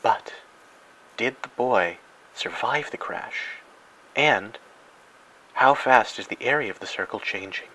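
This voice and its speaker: Deadpan male educational-film narrator, bright forceful voice. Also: mid-range voice, slow pace, long pauses, poor audio. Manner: mock-serious, grandiose, deadpan. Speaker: narrator and host.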